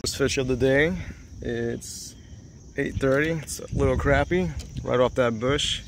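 A man narrating his fishing trip from a small boat on a lake, chatty and excited, talking straight to the camera. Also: medium pitch, unhurried. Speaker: fishing vlogger. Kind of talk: casual narration while fishing. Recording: faint outdoor noise.

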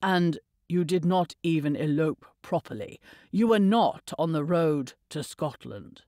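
A woman voicing a stern middle-aged gentleman in an audiobook, measured, reproving and exasperated. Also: sits low, expressive, dry recording, clean studio sound. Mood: fading patience.

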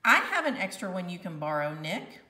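Woman doing chatty show-off schoolboy, storybook, over the top, super lively, roomy. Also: on the low side, moderate pace. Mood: showy. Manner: chatty, exaggerated, theatrical. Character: showy young schoolboy making a big act. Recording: roomy, slightly distant home recording.

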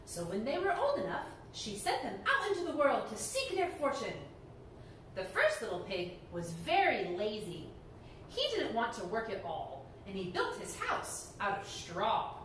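A young woman reading a story aloud to children in a theatrical, expressive, emphatic way. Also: a little high, unhurried. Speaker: library storyteller, reader. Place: indoor room before folding screen. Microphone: camera microphone a few metres away. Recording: echoey room, distant mic, background noise.

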